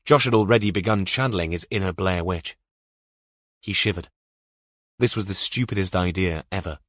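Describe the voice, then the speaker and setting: Male narrator for thriller fiction, low register, close dry recording. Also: moderate pace, fairly bright tone, no room sound. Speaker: audiobook narrator.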